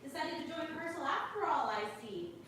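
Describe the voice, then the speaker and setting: Woman playing bossy sarcastic stage woman, very high lively voice, theatrical, distant echoey live reading. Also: brisk. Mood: fussing, sardonic. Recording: distant, very echoey, noisy hall recording.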